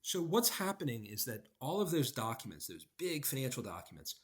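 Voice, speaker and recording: A man presents a screen-recorded software tutorial. His mid-pitched voice rises and falls a lot as he explains each step, leaning on key words. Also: big pitch swings, a little fast.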